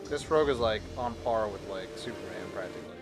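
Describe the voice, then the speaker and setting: A man giving casual, slangy reaction commentary in an excitable, joking way. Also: lowish voice, quick pace, loud music behind the voice. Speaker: reaction show host.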